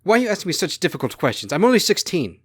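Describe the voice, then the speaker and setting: A man's voice: medium pitch, lively intonation, brisk, no echo, studio quality, natural voice. Man voicing an earnest teenage princess. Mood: frustrated. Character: idealistic, naive sixteen-year-old princess, heir to throne.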